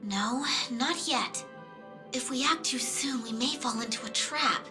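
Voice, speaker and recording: Woman playing a high-voiced, regal young queen. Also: close to an everyday voice. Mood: concerned, composed. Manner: measured, regal, earnest delivery. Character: poised, brave young fantasy queen. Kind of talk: fantasy video game voice acting. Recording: echoey hall reverb, music behind.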